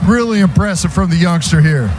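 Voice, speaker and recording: A man's voice: high-pitched, a little fast. An American man working as radio color commentator, explaining football plays in a conversational way. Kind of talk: live football analysis. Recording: noisy stadium radio broadcast.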